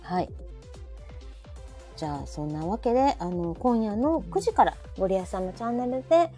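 A woman's voice: mid-range voice, lively intonation, moderate pace, dark tone. A woman with a Kansai Japanese accent hosting a live audio show in casual chat, often chiming in with agreement. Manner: frequent backchannel agreement. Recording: faint background music.

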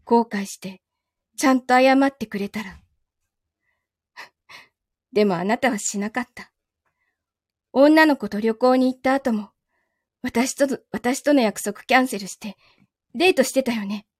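A woman's voice: on the high side, a little slow, long pauses, no echo, studio quality. A woman playing a betrayed, possessive young lover in a live audio drama, with a Kansai Japanese accent and a controlled, coldly teasing manner. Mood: bitter, possessive.

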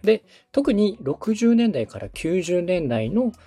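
Male host, polite and methodical consultant, mellow tone, animated pitch movement. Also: takes it slowly. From Osaka, Japan. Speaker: host, podcast producer coaching a client. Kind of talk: structured advice in a podcast consultation.

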